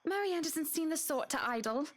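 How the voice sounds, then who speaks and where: A woman's voice: sits high, expressive, a little fast. A woman voicing a genteel, condescending, well-to-do employer in a horror visual novel, sugary, affected and sweetly menacing. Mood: sweetly patronizing.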